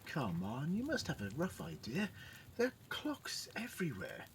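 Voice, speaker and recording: A man's voice: fairly high pitch, wildly swooping pitch, normal speed, slight background noise. A man playing an eccentric, polite alien time-travelling scientist in an audio drama, with a precise, wordy manner. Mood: concerned. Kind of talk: fan audio drama acting.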